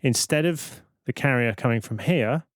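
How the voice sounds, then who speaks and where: A man giving an informal, step-by-step software demonstration as a tutorial presenter. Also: mid-range voice, moderate pace, no room sound, studio quality.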